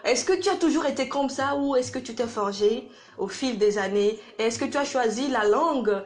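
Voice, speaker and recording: Female radio co-host, fairly high voice, feeling out long conversational questions. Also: normal speed.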